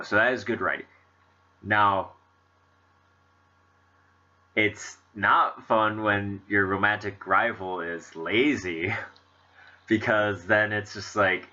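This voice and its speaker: A man's voice: low-pitched, sharp tone, lo-fi sound. A man with a stuffy, congested nose, rambling chattily in casual commentary on a game he is playing. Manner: rambling, chatty, many fillers. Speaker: let's play host.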